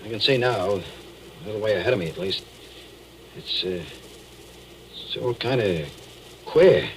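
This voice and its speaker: An actor playing a haunted, nervous deep-sea diver who narrates his ordeal haltingly in 1940s radio drama style. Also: lively intonation, slight background noise, rough recording. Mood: uneasy, halting. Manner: halting, hesitant, brooding. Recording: old 1940s radio recording with music.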